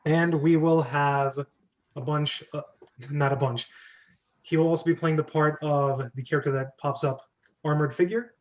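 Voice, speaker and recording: A man's voice: on the high side, steady tone, moderate pace, dull audio. A man casually hosting a script reading club in a chatty, joking way.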